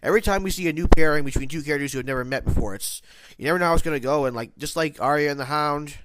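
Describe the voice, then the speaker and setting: A man talks through his TV episode review in a casual, rambling way, stopping often to restart. His voice is nasal and stuffed up from hay fever, yet it stays smooth and clear. The sound is dry and close, with no room echo. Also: a little high, very fast, fairly bright tone. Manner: rambling, many restarts and self-corrections.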